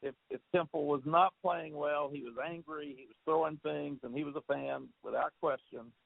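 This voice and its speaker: A man's voice: on the high side, fairly even intonation, normal speed, vocal fry, dry recording. A man who is a football head coach, answering questions on a press conference call in a rambling way, often repeating words and saying "uh". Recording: phone line.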